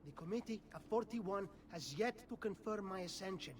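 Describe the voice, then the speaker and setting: Haughty, high-voiced nobleman. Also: expressive, normal speed, far from the mic.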